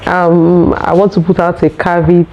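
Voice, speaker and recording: A Nigerian woman who speaks English as a second language, telling her own story in a vlog in an earnest, unhurried way. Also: on the low side, level tone, a little mellow, muffled recording, slight background noise. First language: Nigerian local language. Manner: earnest, unhurried, searching for words. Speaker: vlogger.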